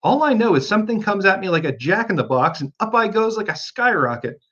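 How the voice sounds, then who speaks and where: A man voicing a small, feeble, flustered male creature from a storybook, rattled and halting. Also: high voice, big pitch swings, fairly bright tone, slightly rough, lo-fi sound, voice pushed up, exaggerated voice.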